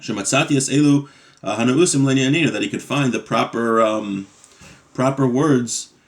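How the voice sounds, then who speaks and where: A man's voice: medium pitch, normal speed. A man leads a weekly religious study class in a mid-range voice. He reads aloud from a Hebrew text and then explains it in English. His tone stays conversational, often asking "right?". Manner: conversational, frequent "right?" and "you know". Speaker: Torah class teacher.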